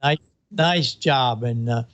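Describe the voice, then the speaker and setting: A man's voice: medium pitch, takes it slowly, fairly bright tone. A man hosting a show in casual chat, easygoing and joking. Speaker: show host.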